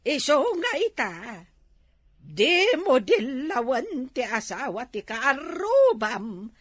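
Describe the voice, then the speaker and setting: A frank, gossipy village mother in an Ilocano comedy drama , played by a woman. Her husky voice runs fairly high and unhurried, in a dry, close recording. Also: very animated, dry recording, exaggerated voice. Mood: teasing, admonishing.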